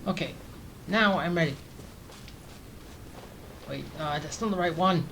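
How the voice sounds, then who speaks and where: A woman giving casual let's-play commentary, halting as she thinks aloud. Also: on the low side, fairly bright tone, a little hiss or hum, rough recording. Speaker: gaming streamer reacting while playing.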